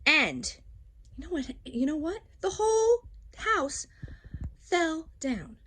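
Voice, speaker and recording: A woman's voice: sits high, very animated, slight background noise, pitched above the performer's own voice, full character voice. A woman voicing a self-justifying wolf who tells his side of a children's storybook with folksy, aggrieved, dramatic delivery. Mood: mock innocent. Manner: dramatic, drawn-out storytelling with sudden swoops and hushes. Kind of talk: children's storybook read-aloud.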